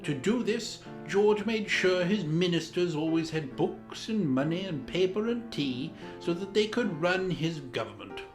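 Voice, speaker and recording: Man putting on a pompous high-pitched lord. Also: expressive. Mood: arch, indignant. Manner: pompous, dramatic, deliberate diction. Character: pompous Georgian English lord reading a mad royal tale. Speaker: storyteller, host in the guise of a lord. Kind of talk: theatrical children's storybook narration. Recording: roomy echo, music underneath.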